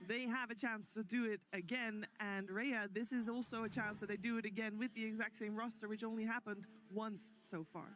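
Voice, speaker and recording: A woman's voice: a little high, steady tone, a little fast, few pauses, a bit bright. A woman hosting an esports broadcast panel, steering the discussion between analysts. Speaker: panel host.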